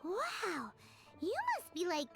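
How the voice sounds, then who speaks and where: A little childlike fairy sidekick from a video game. The voice is extremely high and squeaky, swinging up and down in a cutesy, chatty way. Loud music plays behind it. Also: female voice, very animated, slow pace, creaky, heavily acted. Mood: chirpy. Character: tiny childlike floating fairy companion, eager and chatty.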